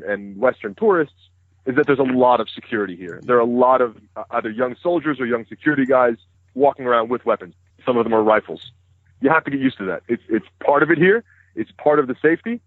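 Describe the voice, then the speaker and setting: A man's voice: medium pitch, very animated, quick pace, no echo. A man in his thirties, a guest in an interview, speaking openly and matter-of-factly. His first language is Hebrew. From United States. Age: 30s. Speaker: interview guest, news correspondent. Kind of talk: phone interview conversation. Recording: dry, tinny phone line.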